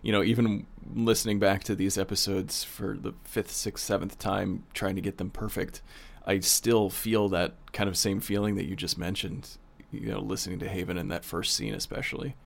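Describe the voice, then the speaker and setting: Guy producer cohosting, kinda low voice, casual shop talk, into it and chatty. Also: flat delivery, normal speed, creaky. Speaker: producer and co-host of an audio drama podcast. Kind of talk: casual behind-the-scenes chat.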